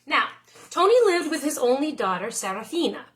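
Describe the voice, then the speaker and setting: A woman with a high voice reads a children's story aloud. Her delivery is expressive and very animated, with pitch rising and falling widely, in the style of a schoolteacher. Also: normal speed, a little hiss or hum. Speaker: teacher reading a picture book aloud.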